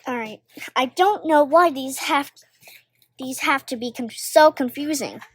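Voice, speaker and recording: A woman's voice: high voice, unhurried, no room sound. A young girl reading story dialogue aloud, hesitant and stumbling over longer words. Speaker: volunteer story reader.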